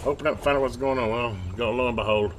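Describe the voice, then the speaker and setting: A man's voice: mid-range voice, steady tone, fast. A man giving a casual hands-on repair demonstration, informal and folksy, thinking aloud as he works. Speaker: repair hobbyist presenting a video. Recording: clearly noisy amateur workbench video.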